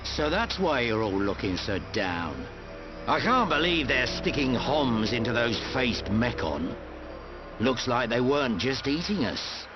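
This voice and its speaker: An actor with a British accent playing a roguish older warrior in a video game, talking in a breezy, teasing, colloquial way. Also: male voice, medium pitch, big pitch swings, unhurried. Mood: musing, wry. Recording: muffled game audio under loud music.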